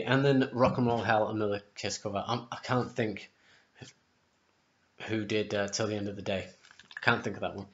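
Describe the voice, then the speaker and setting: Hoarse man rambling in his kitchen. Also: on the low side. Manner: rambling, chatty, corrects himself. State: sore throat, losing his voice. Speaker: music vlogger. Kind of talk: casual album ranking vlog. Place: home kitchen, indoors. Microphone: webcam at close range. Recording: dull lo-fi webcam audio.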